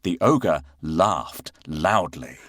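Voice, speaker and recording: A man narrating a children's story aloud in a dramatic, expressive read-aloud style with deliberate phrasing. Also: low-pitched, dry recording, clean studio sound.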